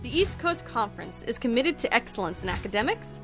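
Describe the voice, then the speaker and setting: A woman's voice: fairly high pitch, very animated, fairly quick. A woman reading scripted promotional narration as an announcer. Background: faint music.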